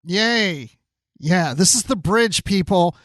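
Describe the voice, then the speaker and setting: A man's voice: high voice, expressive, takes it slowly, a bit bright, no echo, clean studio sound. A man co-hosting a podcast in casual chat, with a playful, joking manner. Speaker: podcast co-host.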